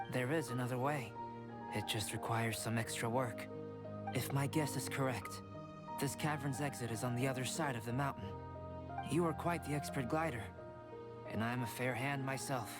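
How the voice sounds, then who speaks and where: An actor playing a calm, aloof young male alchemist in a video game, speaking in a measured, formal and reserved manner. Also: mid-range voice, close to an everyday voice. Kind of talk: scripted video game dialogue. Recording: background music under the voice.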